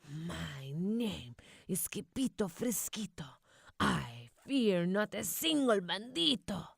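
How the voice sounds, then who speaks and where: A woman's voice: medium pitch, sing-song, slow pace, gravelly, breathy edge, no room sound, studio quality, heavily acted. A woman voicing a small boy cat who playfully acts the brave Chihuahua sword fighter, with theatrical bravado.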